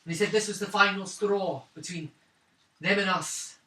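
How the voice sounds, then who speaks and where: A man voicing a shaken, wounded young woman of a wandering clan in a tabletop roleplaying game, speaking haltingly and wearily. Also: high-pitched, pushed and bright. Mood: grave, shaken. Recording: roomy, hissy tabletop recording, distant mic.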